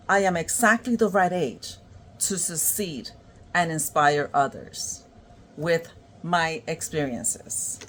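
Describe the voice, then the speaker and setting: A woman from Puerto Rico with a New York accent, giving a slow, encouraging motivational self-help talk full of affirmations. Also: on the low side, a little hiss or hum. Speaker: motivational creator.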